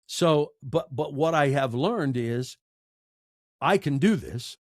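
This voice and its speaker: Middle-aged American male podcast guest. Candid, heartfelt, emphatic talker, higher-than-average register, dry sound. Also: expressive, takes it slowly, a little mellow, no room sound. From United States. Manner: emotional, earnest, emphatic with repeated phrases. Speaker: interview guest, audiobook narrator and podcaster. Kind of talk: candid conversational interview answers.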